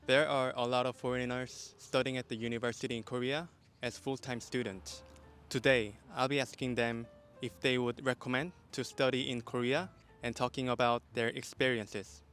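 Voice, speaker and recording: A man's voice: medium pitch, flat delivery, normal speed, few pauses, a bit bright, soft background music. A man hosting a street interview channel, introducing and asking questions casually outdoors. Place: outdoors on a university campus.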